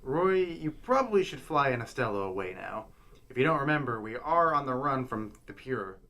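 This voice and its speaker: A man's voice: mid-range voice, expressive, normal speed, sharp tone. A man playing an exasperated, sensible sidekick in a comic sci-fi radio play, nagging and cautioning.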